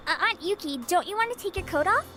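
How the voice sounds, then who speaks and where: A woman's voice: very high voice, lively intonation, fast talker, sharp tone, soft background music, heavily acted. An actress voicing an innocent, easily indignant young girl in an anime-style visual novel game. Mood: curious.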